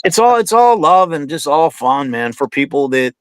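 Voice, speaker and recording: A man's voice: fairly high pitch, very animated, moderate pace, smooth, no echo. A man in his fifties, a guest on an online show, chatting loosely and telling stories, often calling his host "man". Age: 50s. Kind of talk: casual interview chat.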